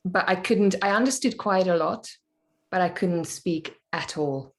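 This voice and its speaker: Low-voiced woman, thoughtful. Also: unhurried. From Hungary. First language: Hungarian. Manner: thoughtful, searching for words. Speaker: podcast guest, actor. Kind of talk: casual interview chat.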